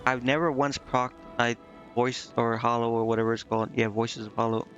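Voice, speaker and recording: A man gives casual live gameplay commentary in a mid-pitched voice, with music playing faintly behind him. He thinks aloud as if half to himself, offhand and often second-guessing his choices. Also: level tone, brisk, fairly bright tone, lo-fi sound. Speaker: game streamer.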